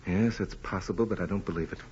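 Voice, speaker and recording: An actor playing a troubled, conscientious doctor, sober and doubting, in 1940s radio drama style. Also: male voice, sits low, fast talker, a little mellow, slightly rough. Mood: baffled, doubting. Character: troubled, conscientious country doctor, a man, plainspoken. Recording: muffled, noisy old radio broadcast.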